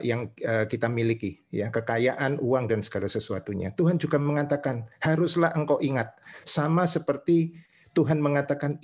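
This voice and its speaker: A male preacher with an Indonesian accent and a medium-pitched voice delivers a teaching sermon. He moves between reading verses and explaining them, building each point in short, measured phrases. He often adds small confirming tags as he goes. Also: a little mellow.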